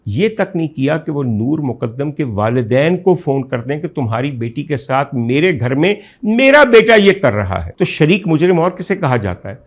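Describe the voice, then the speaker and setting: A man with a Pakistani accent giving an indignant, emphatic opinion monologue as a talk show host, full of rhetorical emphasis, in a husky, gravelly voice. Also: a little high, big pitch swings, moderate pace, few pauses. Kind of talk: opinion monologue recounting a case to viewers.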